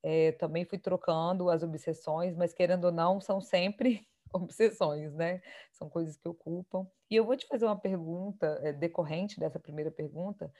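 A woman's voice: lowish voice, fairly even intonation, normal speed, mellow. A woman with a Brazilian accent interviewing someone in a casual, conversational way.

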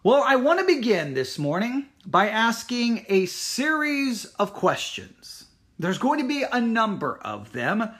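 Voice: mid-range voice, sing-song, unhurried, fairly bright tone. A church teacher giving an informal talk, emphatic and challenging, leaning on rhetorical questions and repeating phrases for emphasis. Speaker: Bible teacher at a Baptist church.

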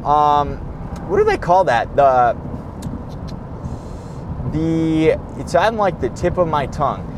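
Young man, high-pitched voice, tired and rambling, swearing, podcast from a car, road noise behind. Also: very animated, slow pace, pauses often. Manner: tired, profane, rambling with many restarts. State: tired and losing his voice. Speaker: podcast host. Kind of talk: rambling solo podcast while driving.

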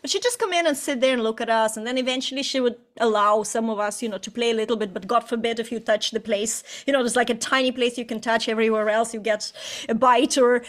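A woman from Estonia co-hosting a podcast, telling stories in a relaxed, reflective casual chat. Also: fairly high pitch, fast talker. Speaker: podcast co-host.

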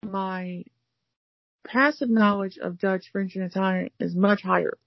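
A woman's voice: mid-range voice, fairly even intonation, measured pace, creaky, no room sound, lo-fi sound. A woman from the United States chatting casually about learning languages, rambling with many fillers and restarts. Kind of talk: casual conversation.

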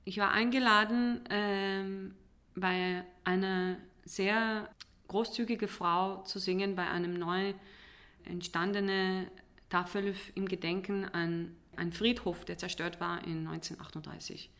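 Woman w/ chatting in an interview, thoughtful, stops and searches for words, medium pitch. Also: fairly even intonation, fairly bright tone. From Israel. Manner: halting, searching for words in non-native German. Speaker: interview guest, singer. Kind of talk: reflective conversational interview.

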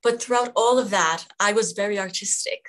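A middle-aged woman born in Jamaica, a physician being interviewed, talking thoughtfully with many pauses and fillers. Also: medium pitch, a bit bright. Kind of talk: conversational interview.

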